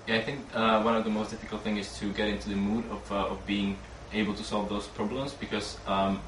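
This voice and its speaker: A man's voice: fairly low pitch, level tone, fairly quick. A young man from Slovakia, a student panelist, talking with restarts and fillers. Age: young adult. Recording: roomy, distant mic with background noise.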